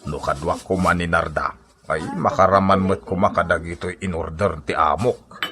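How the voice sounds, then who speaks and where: An actor playing a proud, blustering old Ilocano father-in-law in a comedy radio drama, with a husky voice and an exclamatory, drawling delivery. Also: low-pitched, fairly even intonation, normal speed, crowd noise. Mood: sheepish. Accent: Filipino, Ilocano.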